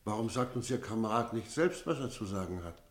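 A man plays a sly, talkative innkeeper in a radio play. His voice sits low, and he speaks with pointed, deliberate emphasis. He is middle-aged and wry, a storyteller who likes a shrewd deal. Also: lively intonation, lightly acted. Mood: probing. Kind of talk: German radio play acting and first-person narration.

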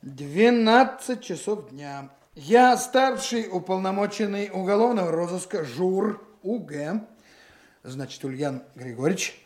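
A senior investigator from a Soviet radio drama. His voice is high for a man and slow-paced. He gives brisk, businesslike instructions and spells things out with patient authority. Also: expressive, a little mellow. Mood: businesslike dictating. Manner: brisk, instructing, deliberate dictation. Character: brisk male senior criminal investigator, commanding but patient. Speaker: actor.

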